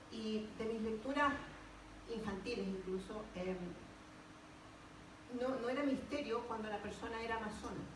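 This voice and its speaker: A woman with a Chilean Spanish accent giving an unscripted personal testimony at a public talk, often repeating words for emphasis. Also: on the high side. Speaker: panel speaker, lodge member. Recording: echoey, noisy room, distant microphone.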